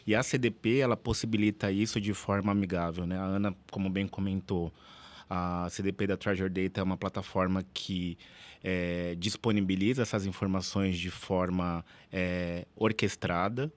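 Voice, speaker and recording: A man who is a guest on a podcast explains his team's choices at a measured pace, often adding tag questions and fillers. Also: sits low, steady tone. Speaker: guest, data team lead. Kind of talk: podcast interview answer.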